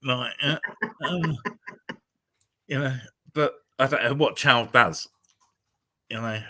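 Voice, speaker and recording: A man's voice: medium pitch, slow pace, bright, forceful tone. An older man, a guest on an interview show, speaking hesitantly with dry humour. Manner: hesitant, many ums and restarts, dry humour. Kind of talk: casual interview.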